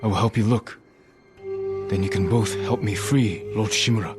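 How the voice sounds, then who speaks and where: Male actor playing earnest samurai, deepish voice, determined, terse, dramatic video game, heavy musical backing. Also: normal speed, natural voice. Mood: grave, determined. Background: loud background music.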